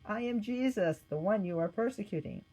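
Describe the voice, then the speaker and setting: Heavenly lord for a storybook, voiced by a woman: solemn, commanding, declarative, with a mellow tone. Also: medium pitch, expressive, brisk, pitched above the performer's own voice, lightly acted, rough recording. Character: commanding divine male voice from heaven, solemn, firm.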